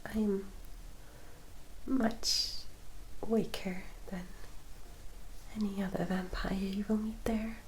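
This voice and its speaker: A woman voicing a gentle, loyal young vampire in a whispered ASMR roleplay, speaking in a careful, slightly formal way. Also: mid-range voice, little pitch movement, takes it slowly, pauses often, a little hiss or hum, natural voice, low-quality recording. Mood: hushed, wary.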